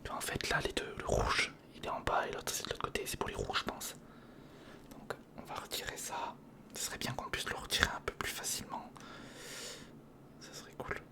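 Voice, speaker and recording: Whispering ASMR creator. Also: brisk. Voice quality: breathy, mostly unvoiced whisper. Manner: self-talking, repeats phrases, informal. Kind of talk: whispered ASMR, casual build commentary. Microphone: close studio recorder microphone.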